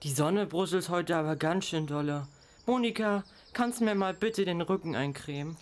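Easygoing parent played by a female performer, mid-pitched. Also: moderate pace, lightly acted. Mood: casual, puzzled. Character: easygoing parent, practical and conciliatory. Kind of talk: amateur school radio play acting.

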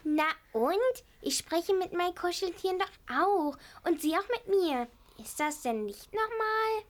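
A woman's voice: very high-pitched, lively intonation, a little slow. A female performer playing a naive little kindergarten girl, innocent and matter-of-fact, in a children's radio play.

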